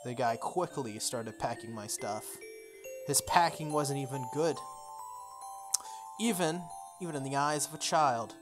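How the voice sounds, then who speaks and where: Lower-voiced game streamer reading aloud, music behind. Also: a little slow. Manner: playful, interjects informal comments on the script. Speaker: playthrough host. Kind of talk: reading visual novel text aloud with casual asides.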